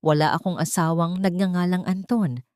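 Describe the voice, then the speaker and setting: A woman's voice: fairly low pitch, steady tone, moderate pace, a little mellow, dry recording, clean studio sound, close to an everyday voice. A woman voicing a wronged wife in a story, hard, bitter and determined. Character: wronged wealthy wife, bitter, steely and resolute.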